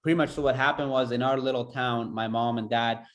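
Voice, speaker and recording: A man's voice: medium pitch, fairly even intonation. Earnest man telling a personal story. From Afghanistan. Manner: earnest, halting, many fillers. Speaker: podcast host.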